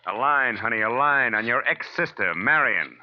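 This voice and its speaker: An actor playing a sardonic, tough-talking American private detective in a 1940s radio noir style. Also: male voice, mid-range voice, animated, normal speed, a little hiss or hum, natural voice. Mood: urgent. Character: hard-boiled, wisecracking male private detective. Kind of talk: 1940s radio noir acting and first-person narration. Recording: dull old radio recording with music.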